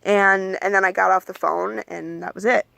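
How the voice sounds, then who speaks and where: A young adult woman talks straight to her viewers, sharing tips in a loose, candid way with lots of ums and likes. Her voice is bright with a gravelly edge of vocal fry. The sound is dry and close, with no room around it. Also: medium pitch, moderate pace, no room sound. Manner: calls her own voice monotone. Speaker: vlogger giving application advice. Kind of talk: casual advice vlog.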